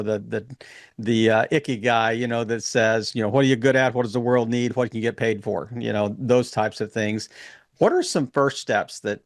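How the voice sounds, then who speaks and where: A man's voice: medium pitch, dry recording, studio quality. A man hosting a podcast, talking loosely with lots of restarts. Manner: rambling, many fillers and restarts. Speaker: podcast host. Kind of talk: casual interview chat.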